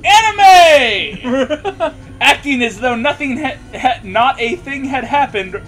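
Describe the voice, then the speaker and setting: A man with a notably high voice reads aloud in a mocking, sarcastic way. He drops into casual banter and pronounces the punctuation as he goes. Music plays faintly behind him. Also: fairly bright tone. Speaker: host reading fan fiction aloud.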